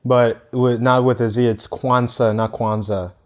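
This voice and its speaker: A man's voice: mid-range voice, flat delivery, measured pace. A man in his twenties from Italy, answering interview questions conversationally in an upbeat, explanatory way. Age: 20s. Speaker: podcast guest, entrepreneur.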